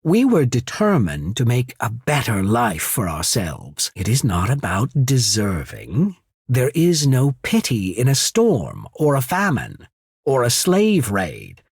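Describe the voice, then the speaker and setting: A man playing a calculating imperial diplomat in a video game, speaking in a measured, formal, persuasive manner with a husky voice. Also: medium pitch, wildly swooping pitch, darkish tone, no echo, clean studio sound, heavily acted. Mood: proud, unyielding. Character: smooth, calculating male diplomat of an imperial trading power. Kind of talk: scripted video game character dialogue.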